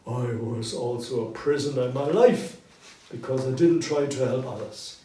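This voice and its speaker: A man's voice: medium pitch, warm tone, close to an everyday voice. A man voicing the anguished, regretful ghost of a dead businessman in a storybook reading, with solemn, emphatic delivery. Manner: slow, solemn, emphatic declamation. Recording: roomy echo, distant mic, background noise.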